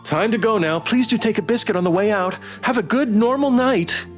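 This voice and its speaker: A man's voice: high-pitched, fairly quick, faint music behind, pitched above the performer's own voice, natural voice. A man voicing a mild, awkward suburban monster who acts like a normal guy in a visual novel. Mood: awkwardly polite.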